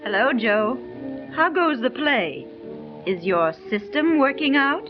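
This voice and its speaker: A woman's voice: high voice, animated, slow, music in the background. A vengeful, shrieking young woman. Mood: coy. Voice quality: theatrical, sobbing and shrieking in fury. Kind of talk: 1930s radio drama acting. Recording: muffled 1930s radio transcription.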